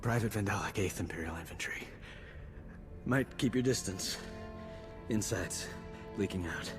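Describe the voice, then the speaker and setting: A man's voice: deep voice, a little slow. A man playing a stoic, badly wounded infantry private in a video game, speaking weakly in clipped fragments. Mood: pained, resigned. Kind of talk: video game cutscene acting. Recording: music playing behind.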